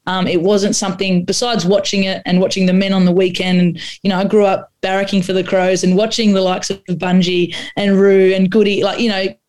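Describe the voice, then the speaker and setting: An Australian woman whose first language was Croatian, giving long interview answers. Her voice stays flat and level at a middling pitch, and she often restarts her sentences and leans on fillers. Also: fairly quick, hardly any pauses. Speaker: guest, AFLW footballer.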